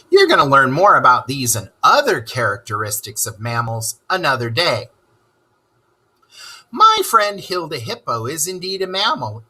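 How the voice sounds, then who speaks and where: A man reads a science lesson aloud to children, his pitch bouncing widely as he plays up each question. His voice is bright and forward, with a breathy edge. He talks slowly and clearly, as if to young listeners. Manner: playful, animated storytelling to young listeners. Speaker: teacher.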